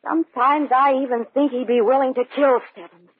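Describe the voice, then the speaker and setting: An actress playing an anxious, fretful wife with a husky, hesitant delivery in an old-time radio drama. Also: female voice, high voice, steady tone, brisk. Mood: distraught, fearful. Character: anxious young wife, distraught and fearful for her man. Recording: muffled, phone-like old radio recording.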